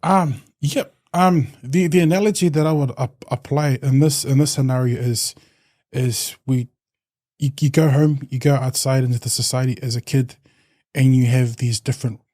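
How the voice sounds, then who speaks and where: Samoan-New man, mellow and reflective. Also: medium pitch, fairly even intonation, normal speed, no room sound, studio quality. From New Zealand. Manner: reflective, with many restarts and fillers. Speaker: podcast guest. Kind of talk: casual interview talk.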